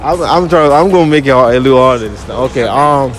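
A young man conducts a light street interview at an outdoor mall. He teases his guests and reads out answer options slowly, talking into a handheld phone. Music plays clearly in the background. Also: medium pitch, lively intonation. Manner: teasing, playful, repeats options slowly.

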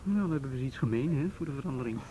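A man's voice: medium pitch, animated, racing, warm tone, close to an everyday voice. A man acting an easygoing, teasing young policeman in a radio drama, bantering informally.

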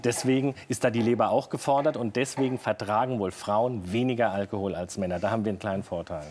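A man in his forties, a doctor, calmly explaining health questions to a host in a television studio, recorded on a lapel mic. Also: fairly low pitch, fast talker, a little hiss or hum. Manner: calm, explanatory, plain-spoken. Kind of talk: studio talk show answering viewer questions. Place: TV studio set.

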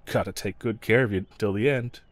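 A man's voice: on the low side, brisk, dry recording, close to an everyday voice. A man playing a snarky, pragmatic yet protective mercenary escort in a fantasy audio roleplay, talking to the listener in a wry, teasing, laid-back way. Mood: reassuring.

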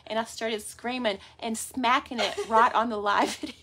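A woman talks to her viewers in a bright, sharp, fairly high voice, calling them "y'all." She speaks from a workroom, picked up by a camera above her, with faint noise behind. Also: steady tone, normal speed. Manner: chatty, addresses viewers as "y'all". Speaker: vlog host. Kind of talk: casual haul unboxing. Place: indoor workroom with shelves.

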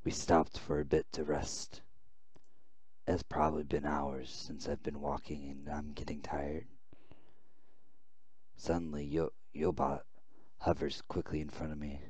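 A man voicing a weary, fearful young man who narrates his ordeal, reading visual novel text with halting, dramatic phrasing. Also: rumbling low, level tone, a little mellow, no room sound, pitched below the performer's own voice. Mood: uneasy, hushed.

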